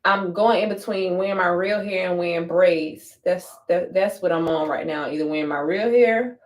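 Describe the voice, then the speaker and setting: A woman's voice: on the low side, normal speed. Brief: woman livestreamer, casual, blunt, swears freely, repeats lines, phone mic at home. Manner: blunt, profane, chatty, repeats herself. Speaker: livestream vlogger. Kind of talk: casual chat while doing her hair.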